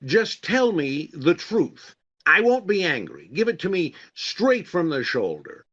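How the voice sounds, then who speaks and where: A man plays a self-important star of 1940s radio comedy. His voice is bright and very animated, with a slightly dull recording. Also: high-pitched, a little slow, full character voice. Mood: vain, wheedling. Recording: slightly dull, band-limited sound.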